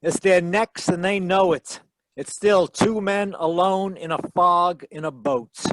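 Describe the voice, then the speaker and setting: An actor playing a wisecracking hard-boiled private detective in a 1940s radio style, with a wry, glib manner. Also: male voice, high-pitched, unhurried, fairly bright tone, dry recording, poor audio.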